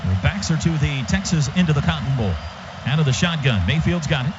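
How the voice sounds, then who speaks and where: A man's voice: a little high, animated, fairly quick. A man calling a live football game as a radio play-by-play announcer, in clipped, rhythmic phrases. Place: outdoor stadium radio booth. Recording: muffled radio feed, loud stadium noise.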